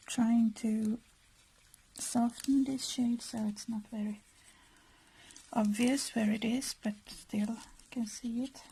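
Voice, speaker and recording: A woman with a Finland-Swedish accent talks softly through a craft project. Her voice is very breathy and husky, fairly high and mellow. She goes slowly and hesitantly, murmuring small asides as she works. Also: rough recording. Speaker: craft vlogger. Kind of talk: casual process narration while crafting.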